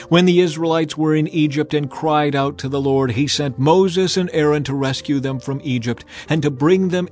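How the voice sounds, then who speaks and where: A man's voice: mid-range voice, lightly acted. A man reading as a stern old prophet. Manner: solemn, admonishing. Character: stern aged male prophet addressing a nation. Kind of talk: dramatized scripture reading.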